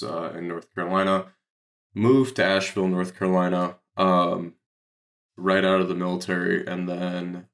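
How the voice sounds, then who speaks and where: Low, gravelly American man talking casually. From Detroit, Michigan, United States. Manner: rambling, heavy use of "like". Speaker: podcast guest, real estate investor. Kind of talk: casual conversational explaining.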